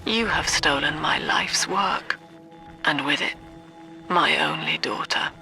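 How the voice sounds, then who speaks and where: Cold middle-aged female zealot, unhurried, creaky voice, solemn game monologue, precise enunciation, music behind. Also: sits low, sharp tone, full character voice. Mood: accusing, grim. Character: cold, cerebral middle-aged woman, zealous cult leader and mother. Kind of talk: dramatic video game monologue.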